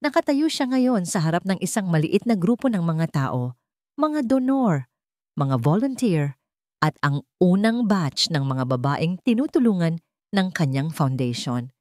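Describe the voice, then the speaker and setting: A woman's voice: fairly low pitch, big pitch swings, a little slow, darkish tone, dry recording, clean studio sound. A woman with a Filipino accent narrating a scripted dramatic story as an audiobook.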